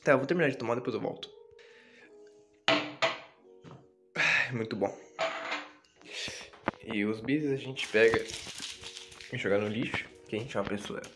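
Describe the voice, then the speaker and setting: A young man from Brazil carries his phone through his kitchen and bedroom, clowning around for his viewers. His voice sits at an ordinary middle pitch, dropping to mumbles and then jumping to near-shouts. Lo-fi music plays faintly behind him. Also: measured pace, pauses often. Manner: playful, self-mocking, drops to mutters then shouts. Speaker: YouTuber giving a house tour. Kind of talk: casual vlog, joking to the viewers. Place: home kitchen and bedroom, indoors. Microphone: handheld phone camera. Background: lo-fi music playing.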